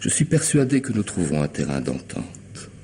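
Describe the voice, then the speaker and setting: An actor playing a sardonic, half-mad hired killer in a French radio mystery, mocking and unhurried in his false courtesy. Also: low voice, very animated, warm tone, slight background noise. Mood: cajoling, sinister.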